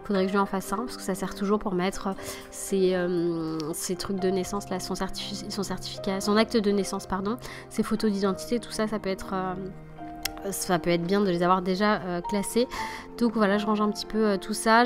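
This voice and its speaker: A woman's voice: mid-range voice, fairly even intonation, fairly quick. A woman in her thirties, a mother vlogging from home, narrating in a chatty, self-deprecating voice-over full of hesitations. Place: home living room. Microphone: voice-over at desk. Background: background music.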